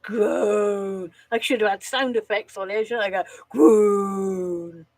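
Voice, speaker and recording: Woman, slightly nasal from a cold, unhurried, dry sound, casual streamer, giggly, swears offhand. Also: mid-range voice, steady tone, darkish tone, dry recording. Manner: giggles at her own jokes, swears casually, groans at bad puns. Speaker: live streamer. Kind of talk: casual live-stream chat telling corny jokes.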